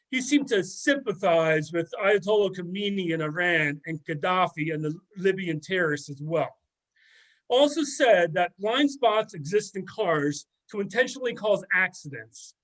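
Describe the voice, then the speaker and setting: Sardonic middle-aged ex-student, played by a man, high male voice, gossipy and mocking, amateur readers theater. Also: fairly bright tone, poor audio. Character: sardonic middle-aged former student dishing on an old teacher. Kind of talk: amateur readers theater, conversational script reading.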